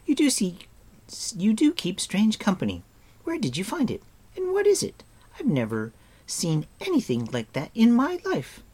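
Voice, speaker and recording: A man voicing a haughty, outspoken young girl in an audiobook, scolding in a brisk, matter-of-fact way. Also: high-pitched, wildly swooping pitch, darkish tone, slightly rough, voice pushed up, full character voice. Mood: curious, tart. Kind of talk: audiobook character voice.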